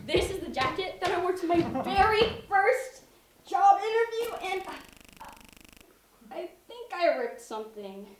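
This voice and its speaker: A young actress plays a scatterbrained, sentimental chatterbox of a woman on stage. She is excitable yet hesitant, taking her time over her words. The sound is echoey and picked up from far away. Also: high voice, background noise, heavily acted. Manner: excitable, hesitant, talks to herself. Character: flustered, chatty woman cluttered with sentimental old clothes. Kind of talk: one-act stage comedy acting.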